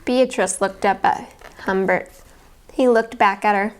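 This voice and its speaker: A woman narrating a children's story aloud in an expressive, carefully enunciated way. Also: medium pitch, unhurried, a little hiss or hum. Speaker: storybook reader.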